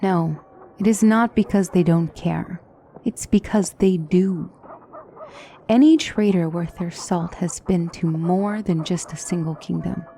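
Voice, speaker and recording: A woman playing a poised, formal demonic tutor in a fantasy ASMR roleplay, speaking as a wise and gently reassuring mentor with measured, courtly phrasing. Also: on the low side, vocal fry, a little hiss or hum. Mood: reassuring, instructive. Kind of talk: ASMR fantasy roleplay, scripted character acting.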